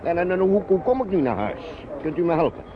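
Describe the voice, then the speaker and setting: Flustered English traveler with children, quick hesitant speech, radio drama, muffled and noisy recording. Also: male voice, high-pitched, lively intonation. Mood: worried. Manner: hesitant, repeating words. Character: flustered, determined Englishman shepherding small children. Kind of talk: Dutch radio play acting.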